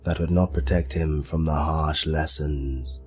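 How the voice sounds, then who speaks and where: Ominous male storyteller, acted by a man: very low, slow, mock-solemn and sly, storybook narration for comic audio drama. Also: fairly even intonation, darkish tone, soft background music.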